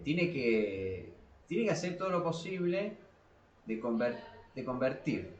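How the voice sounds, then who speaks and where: A man's voice: medium pitch, dull audio, slight background noise. Argentine man thinking aloud in an echoey room. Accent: Argentine Spanish. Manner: halting, repeats words as he thinks aloud. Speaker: podcast co-host. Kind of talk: casual discussion. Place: small cramped room below a staircase. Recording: roomy echo of a small room.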